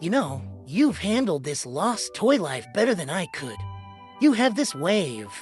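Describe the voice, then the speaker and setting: A woman's voice: lowish voice, sing-song, unhurried, a little mellow, faint music behind. A woman voicing a sincere, admiring male cowboy toy in a children's storybook, earnest and plainspoken. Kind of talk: children's storybook read-aloud.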